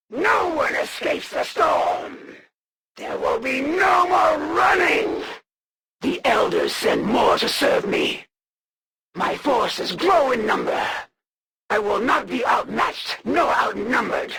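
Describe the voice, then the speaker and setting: A proud, menacing female alien assassin from a video game. Her voice is heavily creaky and swings widely in pitch as she delivers formal, theatrical taunts. She speaks slowly and deliberately, cold and full of wrath. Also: fairly bright tone, heavily acted. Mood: wrathful, declaiming, then proud, commanding. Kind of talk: video game villain voice lines.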